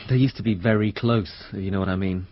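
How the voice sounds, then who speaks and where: Radio drama casting: man as teenage student, slangy, guarded, fond of gossip, husky, slightly lo-fi sound. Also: lowish voice, fairly quick, close to an everyday voice. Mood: gossipy. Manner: informal, offhand, slangy. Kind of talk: radio mystery drama acting. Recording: dull, lo-fi radio drama recording.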